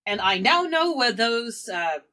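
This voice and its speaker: A woman plays a tabletop game in a casual, improvised way. Her voice is fairly high and bright, and it moves expressively as she tells what her character does. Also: very animated, unhurried. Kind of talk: casual improvised role-play.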